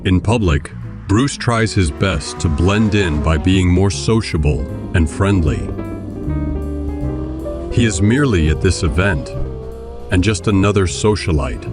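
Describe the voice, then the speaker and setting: A man narrates an audio drama from a script. His voice sits very low, and music plays clearly beneath it. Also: animated, measured pace.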